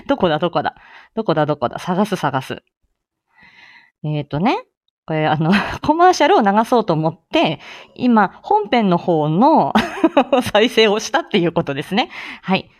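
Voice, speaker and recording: Giggly, lively woman hosting a chat. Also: mid-range voice, a little slow, pauses often, no echo, studio quality. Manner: giggly, chatty, exclaiming. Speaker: live audio show host. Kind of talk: casual morning livestream chat.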